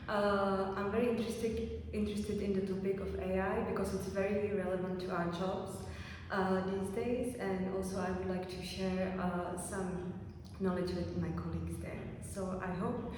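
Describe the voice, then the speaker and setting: Woman, distant, heavy room echo, clear background noise; friendly, hesitant intro. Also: mid-range voice, level tone, moderate pace, darkish tone. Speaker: director of international programs. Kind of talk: self-introduction video. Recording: very echoey room, voice from far off.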